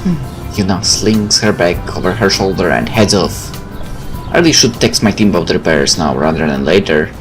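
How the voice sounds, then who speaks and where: A man with an animated, expressive delivery reads a visual novel aloud. He mixes narration with casual let's player asides, and game music sits behind his voice. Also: sits low, normal speed.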